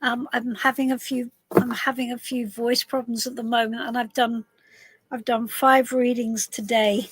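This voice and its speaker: A middle-aged woman from southwest London, her voice a little husky, chatting casually to viewers on a live stream from inside a camper van, calling people darling. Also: fairly high pitch, level tone, normal speed, dry recording, poor audio. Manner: chatty, warm greetings to viewers, calls people darling and love. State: a bit husky voice. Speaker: tarot reader hosting a live stream.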